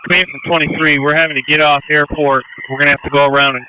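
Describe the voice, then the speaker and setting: A male fire officer reports briskly over radio. His delivery is even and clear, through a tinny, narrowband emergency channel. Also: fairly high pitch, noisy background. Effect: two-way radio transmission. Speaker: fire engine crew officer. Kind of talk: brief emergency radio traffic.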